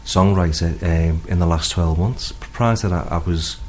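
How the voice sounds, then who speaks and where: A man with a Liverpool accent and a low voice talks casually as an interview guest. He is hesitant and rambles a little, with faint noise behind him. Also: unhurried, a little mellow. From Liverpool, England. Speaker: guest, singer-songwriter. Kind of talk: casual radio interview chat.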